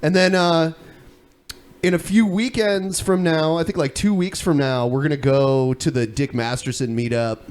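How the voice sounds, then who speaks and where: A man's voice: a little high, steady tone, normal speed, a little mellow, clear, resonant tone. An American man hosting a podcast in casual, profane panel chat. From United States. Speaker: podcast host.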